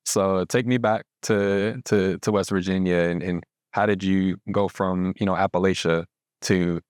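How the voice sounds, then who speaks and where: A man's voice: deep voice, fairly even intonation, unhurried, clear, resonant tone, dry recording, studio quality. A man hosting an interview, asking admiring questions. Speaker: interview host.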